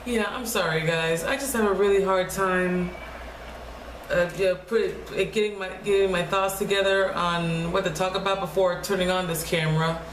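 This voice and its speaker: A young woman speaking quietly in a low, deep voice, talking haltingly and restarting her words often in a casual personal vlog at home. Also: steady tone. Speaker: vlogger. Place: home room. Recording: echoey room with faint noise.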